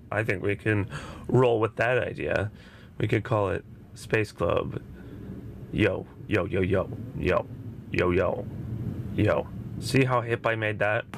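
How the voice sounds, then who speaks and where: A man in casual, joking podcast chat with a gravelly voice. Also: on the low side, slight background noise.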